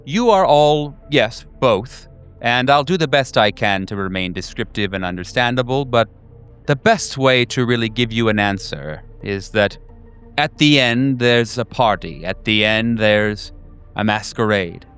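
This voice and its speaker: A man's voice: mid-range voice, expressive, a bit bright, clean tone. A man voicing a cryptic, philosophical servant of a cosmic king in an improvised tabletop horror game. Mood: ominous, cryptic. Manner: measured, philosophical, enigmatic. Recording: music bed behind the voice.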